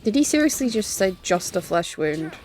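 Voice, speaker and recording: Mellow, animated woman streaming a game. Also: mid-range voice, normal speed, slight background noise. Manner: wry, joking, salty. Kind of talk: casual live game commentary.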